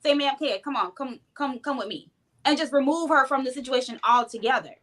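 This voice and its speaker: A woman's voice: high-pitched, fairly quick, fairly bright tone. A woman in a casual group discussion, arguing her point insistently and acting out what she would say.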